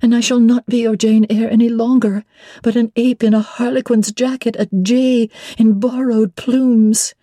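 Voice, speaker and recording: A woman's voice: on the high side, flat delivery, moderate pace, mellow, no room sound, studio quality, pitched above the performer's own voice, close to an everyday voice. A woman playing an earnest, proud young bride-to-be in a classic novel reading, speaking formally and candidly. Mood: pleading, protesting.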